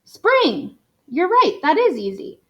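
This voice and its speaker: Storybook schoolchild played by a woman: high pitch, eager, curious, exclamatory. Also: huge pitch swings, slow pace, pushed and bright, lo-fi sound, exaggerated voice. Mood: excited.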